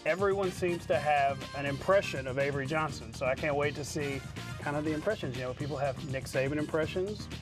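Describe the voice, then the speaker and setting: Higher-pitched man hosting sports show. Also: brisk, over music. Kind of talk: sports broadcast with casual banter.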